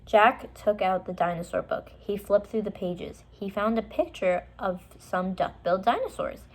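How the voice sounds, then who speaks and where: A woman reading a children's story aloud, with expressive, deliberate and dramatic narration. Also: mid-range voice.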